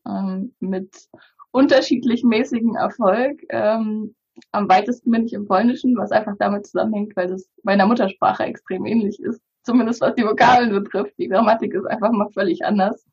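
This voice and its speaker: Woman, thirties, Bulgarian native speaker, breathy voice, halting interview talk, dull recording. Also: mid-range voice, fairly even intonation, a little mellow. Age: 30s. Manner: hesitant, many fillers and restarts. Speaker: interview guest.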